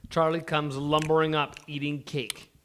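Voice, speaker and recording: A man's voice: on the high side, little pitch movement, normal speed, a bit bright. A man reading scripted stage directions as narrator of a live comedy table read.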